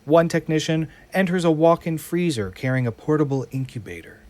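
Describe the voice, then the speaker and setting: A man's voice: on the high side, animated, brisk, a little mellow. A man reading scripted screenplay narration for an audio drama. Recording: music under the voice.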